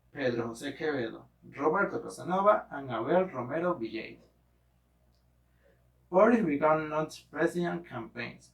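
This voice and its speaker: Echoey, distant man reading a halting report. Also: a little high. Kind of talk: scripted presentation read aloud. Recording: echoey room, distant microphone.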